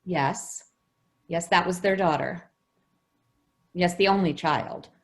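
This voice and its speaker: A woman playing a gentle, anxious housekeeper who protects a child, hesitant and soothing, in old-time radio drama style. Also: on the low side, slow. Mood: guarded, uneasy.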